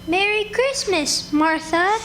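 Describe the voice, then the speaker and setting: A woman's voice: very high voice, slow pace, fairly bright tone, heavily acted. A woman playing a sweet, pious, frail little boy in a live radio play, innocent and earnest. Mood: innocent, happy.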